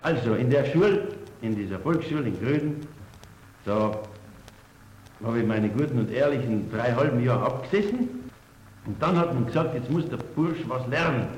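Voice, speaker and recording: A man's voice: medium pitch, animated, normal speed, long pauses, darkish tone, natural voice. A man playing a folksy mountain village storyteller recalling boyhood, in a radio play. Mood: reminiscing. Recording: echoey room, faint background noise.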